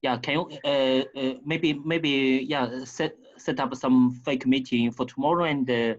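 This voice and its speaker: A man speaking tentatively and haltingly as a participant in an informal work conference call. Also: medium pitch, fairly even intonation. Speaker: meeting participant. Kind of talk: informal work meeting talk. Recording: slightly dull conference call audio.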